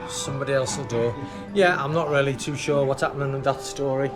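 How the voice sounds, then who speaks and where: A man's voice: mid-range voice, steady tone, brisk. A man vlogging with casual walk-and-talk commentary, rambling and musing aloud as he goes. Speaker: vlogger presenting a theme park walk. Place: outdoors in a theme park. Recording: music behind, outdoor vlog. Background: music.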